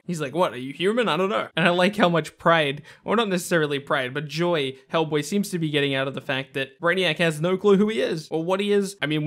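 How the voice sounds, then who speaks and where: A man's voice: on the high side, a bit bright. A young man giving casual, slangy video game commentary as host, emphatic and enthusiastic. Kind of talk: casual top-ten commentary.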